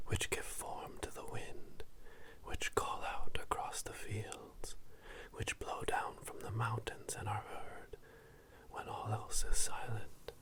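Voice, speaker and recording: A performer voicing a solemn witch chanting a ritual invocation in a breathy whisper, as an ASMR roleplay close to a binaural microphone. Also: mid-range voice, monotone, natural voice. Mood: solemn, reverent. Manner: slow, solemn, chanting, ceremonial. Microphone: close binaural microphone, ear to ear.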